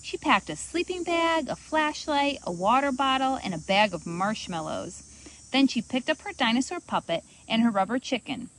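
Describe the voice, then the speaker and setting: A woman reading a children's storybook aloud as host, in an expressive, animated manner for young listeners. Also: a little high, normal speed, a little hiss or hum, low-quality recording.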